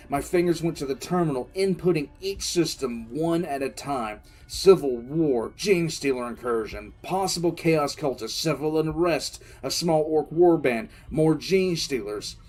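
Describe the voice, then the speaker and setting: A man playing a war-weary military commissar, recounting a crisis in halting, emphatic audio drama narration. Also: mid-range voice, close to an everyday voice. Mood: hushed intensity, then building urgency. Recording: music under the voice.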